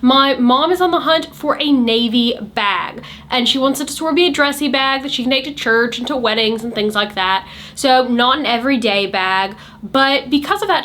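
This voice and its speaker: A chatty, sassy woman walks through a handbag review in a casual, talkative way. Her voice sits a bit high and carries a light hoarseness. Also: fairly bright tone. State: a little hoarse. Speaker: luxury handbag reviewer, channel host.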